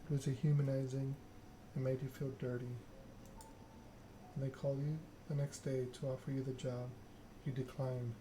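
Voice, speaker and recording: A man streaming a text game, reading narration aloud in a soft-spoken ASMR style with casual chat. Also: medium pitch, little pitch movement, moderate pace. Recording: clear background noise.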